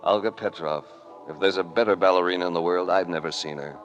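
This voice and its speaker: An actor playing a worldly American adventurer-detective with a measured, sincere manner in a 1940s radio drama. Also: male voice, low voice, dull audio, faint music behind, natural voice. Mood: brooding, wistful. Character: worldly, sympathetic American adventurer-detective hero, narrating his tale. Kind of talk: 1940s radio acting and noir narration. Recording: noisy old radio recording.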